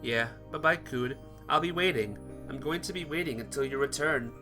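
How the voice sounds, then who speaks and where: A man's voice: on the high side, moderate pace, music in the background, lightly acted. A man playing an earnest, caring teenage boy in a visual novel, a little hesitant and worried.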